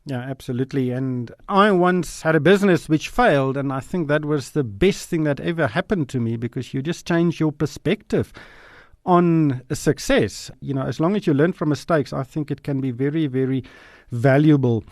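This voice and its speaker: A man's voice: fairly high pitch, moderate pace, no echo, studio quality. A man hosting an interview, reading a scripted introduction and then asking questions. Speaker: interview host.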